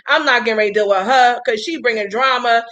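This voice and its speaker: A woman's voice: fairly high pitch, fast, fairly bright tone. A woman in her thirties hosting a live stream, talking in a chatty, folksy way and calling her viewers "y'all". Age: 30s. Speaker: host. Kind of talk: casual live-stream talk.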